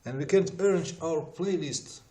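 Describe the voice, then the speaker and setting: A man's voice: fairly high pitch, moderate pace, warm tone. Non-native English speaking man presenting a tutorial. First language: not English. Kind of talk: explanatory tutorial narration.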